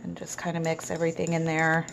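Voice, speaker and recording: A woman with a cold and a raspy voice, giving relaxed step-by-step instructions in a casual cooking tutorial. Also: low voice, fast talker, a little hiss or hum. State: hoarse with a cold. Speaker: home cook.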